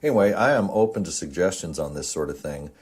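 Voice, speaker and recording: A man's voice: deep voice, expressive, quick pace, darkish tone, breathy edge. A man hosting an amateur audio show, talking to listeners in an informal, earnest, rambling explanatory monologue.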